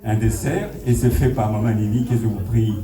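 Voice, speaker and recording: A man's voice: fairly low pitch, steady tone, fairly quick, warm tone. A middle-aged man with a Congolese French accent gives an informal public speech as founder of a community association, telling anecdotes and addressing his listeners directly. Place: party hall, speaking to a gathering. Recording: echoey hall, distant mic, audience noise.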